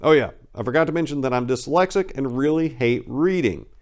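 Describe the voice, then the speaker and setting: A man's voice: medium pitch, lively intonation, a little fast. An American man giving a dramatic reading of a comic first-person memoir in a wry, self-deprecating, conversational way. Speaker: memoir narrator.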